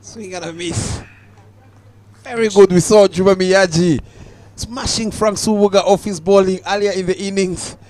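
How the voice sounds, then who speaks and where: Young ugandan guy doing cricket commentary, high voice, chill play by play that picks up on the big balls. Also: fairly even intonation, normal speed, a little mellow, no room sound.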